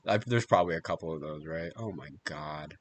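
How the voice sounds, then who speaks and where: A man's voice: extremely low pitch, fairly quick, a little hiss or hum. A man streaming casual reactions to videos, talking in slangy, easily amazed bursts with some swearing. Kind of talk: casual live reaction chat.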